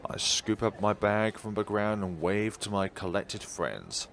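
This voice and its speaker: A man's voice: fairly low pitch, animated, unhurried, gravelly, fairly bright tone, a little hiss or hum. A man reading a visual novel aloud theatrically as a game streamer, slipping out of the story for asides and self-corrections.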